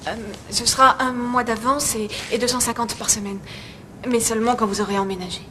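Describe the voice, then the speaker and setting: A woman's voice: a little high, steady tone, a little fast, pushed and bright, a little hiss or hum. A female actor playing a self-possessed landlady in French-dubbed thriller film dialogue, direct, polite and conversational. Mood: pleasantly surprised. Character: self-possessed, friendly but brisk American landlady.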